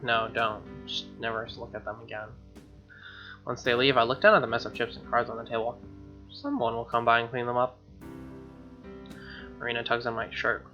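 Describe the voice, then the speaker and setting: A man's voice: medium pitch, level tone, moderate pace, long pauses, sharp tone. A man hosting a gaming video, reading a visual novel aloud with casual, snarky and profane asides. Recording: dull lo-fi mic over music. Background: game music with guitar.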